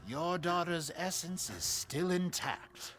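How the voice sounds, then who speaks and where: An actor playing a genial, enigmatic video game merchant who speaks with theatrical, courtly politeness. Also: male voice, a little high, lively intonation, takes it slowly, a bit bright. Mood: coaxing, mysterious. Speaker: game shopkeeper.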